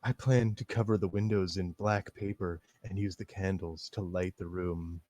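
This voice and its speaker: A man voicing a shy, refined teenage girl in a comedic staged reading of a visual novel, speaking slowly and in a hush. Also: sits low, fairly even intonation, no echo, natural voice.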